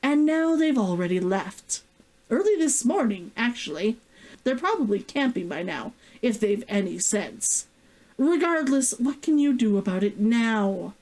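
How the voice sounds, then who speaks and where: A woman's voice: on the high side, expressive, measured pace, a little mellow, rough recording. A woman voicing an exasperated, firm male town healer who is losing his temper in a story read aloud. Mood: exasperated, reasoning.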